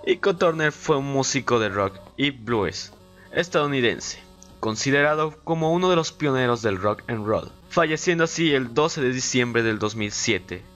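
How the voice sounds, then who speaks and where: Medium-pitched man narrating over music. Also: animated, normal speed, a bit bright. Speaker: guest co-narrator. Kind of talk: scripted narration for a music trivia video.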